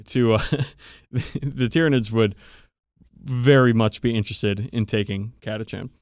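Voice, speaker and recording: A man's voice: medium pitch, fairly even intonation, a little slow, darkish tone, clear, resonant tone, dry recording, studio quality. A man hosting a podcast, chatting casually and enthusiastically about game lore with emphatic swearing. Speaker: podcast host. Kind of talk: casual, profane hobby chat explaining game lore.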